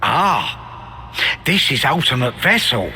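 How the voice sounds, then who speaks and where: A man's voice: mid-range voice, very animated, slow, sharp tone, a little hiss or hum, heavily acted. A man voicing a small, proud creature shipwright in a video game, speaking in clipped, broken grammar about himself in the third person. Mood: boastful, dreamy. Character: small proud non-human shipwright creature, earnest craftsman. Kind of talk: video game cutscene acting.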